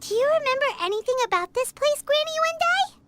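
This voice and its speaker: A woman voicing a tiny, excitable childlike fairy companion in a video game, with a squeaky, perky delivery. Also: fast. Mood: curious, reassuring. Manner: perky, refers to self in third person.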